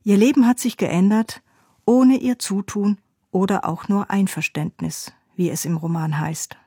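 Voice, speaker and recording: A woman presenting a radio culture feature, reading a scripted narration in a calm, measured way. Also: mid-range voice, breathy edge, no room sound, clean studio sound.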